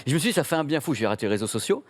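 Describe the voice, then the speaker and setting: A man who is the tech columnist on a live stream, chatting and joking in an excitable way. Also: medium pitch, rapid-fire, breathy edge, no echo, studio quality. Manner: excitable, jokey storyteller. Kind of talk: casual chat on a live stream.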